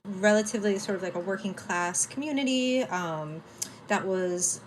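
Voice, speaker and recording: A woman in her forties with a Boston accent, talking casually as an interview guest, animated and laughing often, with lots of "like" fillers. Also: medium pitch, moderate pace, slight background noise. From United States (near Boston). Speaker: activist guest.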